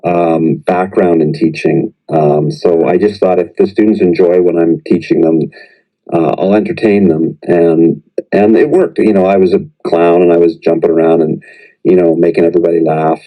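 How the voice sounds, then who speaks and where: A middle-aged man with a very low, deep voice speaks as a guest on a podcast. He talks in a thoughtful, searching way, full of fillers and restarted phrases as he reflects on teaching. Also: fairly even intonation, normal speed, lo-fi sound. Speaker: professor, English teacher in Japan. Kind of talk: conversational podcast interview.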